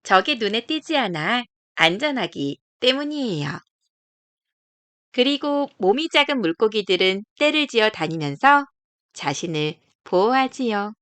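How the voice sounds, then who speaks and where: A woman reading a children's nature picture book aloud, explaining gently to young listeners. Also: on the high side, very animated, measured pace, no echo.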